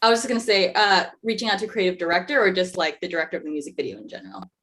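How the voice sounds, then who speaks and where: A woman's voice: lowish voice, animated, very fast, fairly bright tone. A woman moderating a panel Q&A, calling on people by name and answering questions herself. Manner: friendly, calls on people by name. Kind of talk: hosting a panel Q&A.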